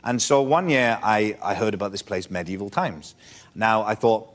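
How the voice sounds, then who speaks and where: A man's voice: fairly low pitch, lively intonation, normal speed. A man with a Welsh accent telling a wry, rambling anecdote.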